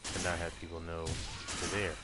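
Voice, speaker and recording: A man's voice: sits low, flat delivery, normal speed, very noisy. A man giving casual, rambling gameplay commentary, explaining things and thinking aloud as he plays. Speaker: gaming video host playing through a game. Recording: game music under the voice. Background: fantasy game music and sounds.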